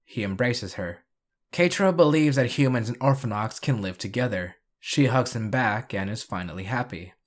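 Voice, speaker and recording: A man's voice: mid-range voice, lively intonation, normal speed. A man narrating a scripted video review and recap, with dry, sarcastic asides.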